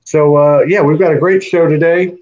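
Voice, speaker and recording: A man's voice: fairly high pitch, fairly even intonation, moderate pace, darkish tone, highs cut off. A man hosting a live online talk show in a loose, chatty way, laughing often and thanking viewers by name. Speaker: host.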